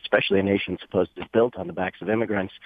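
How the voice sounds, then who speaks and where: A man from Romania calls in to the show with a lowish voice and speaks emphatically. The sound is thin and phone-like, and dry. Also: steady tone, racing, no echo. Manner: emphatic, indignant. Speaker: caller. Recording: tinny phone line.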